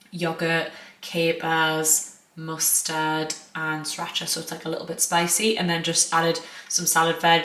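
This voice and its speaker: Low-voiced northern English woman, bright. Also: echoey room. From northern England. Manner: chatty, filler-heavy, self-correcting. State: croaky voice. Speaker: food and lifestyle vlogger. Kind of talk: casual chat to camera. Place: home kitchen.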